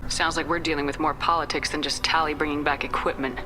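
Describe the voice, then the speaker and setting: An actress playing a direct, controlled human military commander in a sci-fi video game. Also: female voice, sits low, fairly even intonation, fast talker, close to an everyday voice. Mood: probing. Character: composed, direct human female space commander. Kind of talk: video game dialogue acting. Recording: loud music behind the voice. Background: music.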